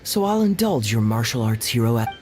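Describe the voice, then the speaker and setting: Man playing a wry, animated, suave captain. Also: medium pitch, brisk, noisy background, natural voice. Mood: wry, teasing. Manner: wry, smooth-talking, playfully sardonic. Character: suave, teasing male captain, wry and calculating. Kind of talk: video game character dialogue. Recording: music under the voice.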